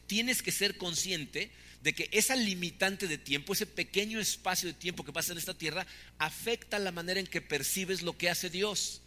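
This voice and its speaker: A man's voice: sits high, pushed and bright, big-room sound. A man, preaching a sermon conversationally, often tagging questions onto his points. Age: 50s. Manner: conversational preaching with frequent "¿no?" tags. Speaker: pastor.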